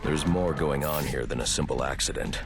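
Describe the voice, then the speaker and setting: An actor playing a grim, stoic vigilante detective in a video game, speaking in a gravelly, terse, deliberate deadpan. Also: male voice, a bass voice, background noise. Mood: analytical, grim. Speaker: superhero detective. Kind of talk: video game cutscene acting. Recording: music behind the voice.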